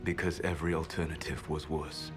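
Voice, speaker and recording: A deep, grave ancient elf mage. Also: male voice, little pitch movement. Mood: solemn. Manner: measured, grave, quietly regretful. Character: ancient male elf mage, calm, secretive, proud. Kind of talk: video game voice acting. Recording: game audio with music score.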